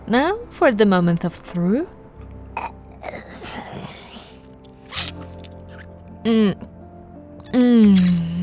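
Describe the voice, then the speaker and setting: A woman voicing a possessive, seductive vampire royal in an ASMR roleplay, teasing and often slipping into breathy whispers. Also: on the low side. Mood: sated, gentle. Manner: slow, teasing, breathy whispering with soft laughs.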